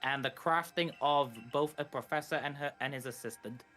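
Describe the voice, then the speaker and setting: A man's voice: a little high, normal speed, bright, forceful tone, soft background music. A man co-commentating on a video game, casually explaining details as they come.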